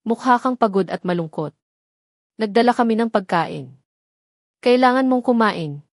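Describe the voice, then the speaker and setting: A woman voicing a caring, worried village mother in a story, with a Filipino accent and gentle, pleading concern. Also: fairly high pitch, lively intonation, normal speed, no echo, studio quality.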